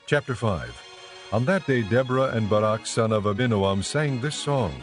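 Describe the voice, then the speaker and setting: A man's voice: lowish voice, animated, normal speed, soft background music. A man reading as the narrator of a scripted, dramatized scripture audiobook.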